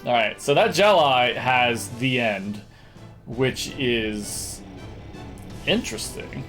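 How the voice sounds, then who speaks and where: A man's voice: medium pitch, expressive, slow, fairly bright tone. A man giving casual video game commentary, thinking aloud and now and then humming little nonsense tunes. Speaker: video game streamer and speedrunner. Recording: game music behind the voice. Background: video game music.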